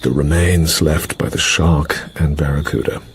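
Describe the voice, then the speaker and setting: An actor playing a British intelligence officer in a radio drama, briefing an agent in hesitant, clipped upper-class phrases. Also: male voice, rumbling low, little pitch movement, normal speed, husky, heavily acted. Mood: grave, dramatic. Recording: faint background noise and ambience.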